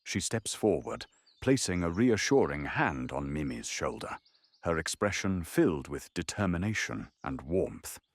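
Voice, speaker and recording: Deep, husky male story narrator. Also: very animated, a little slow, no room sound. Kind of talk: dramatic scripted story narration.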